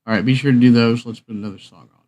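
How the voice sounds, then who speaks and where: A man's voice: mid-range voice, steady tone, racing, a little mellow, muffled recording. A man hosting a livestream, chatting casually in an informal, joking way. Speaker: livestream host. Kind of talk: casual rambling chat.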